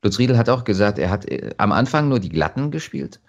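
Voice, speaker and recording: Low-voiced man with lively intonation. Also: fairly quick, lo-fi sound. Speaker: actor, interview guest. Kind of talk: casual interview chat.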